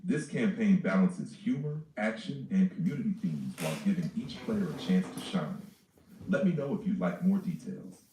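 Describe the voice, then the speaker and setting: A deep, mellow male voice reads out a character and campaign sheet in a flat, list-like way, with lively swings in pitch. He sounds distant from the mic, with an echoey ring and a faint hiss behind him. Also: moderate pace.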